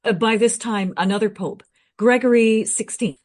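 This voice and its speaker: A woman playing a well-informed, matter-of-fact history researcher in a radio play, explaining things briskly and frankly. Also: on the high side, steady tone, lightly acted. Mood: informative, tactful.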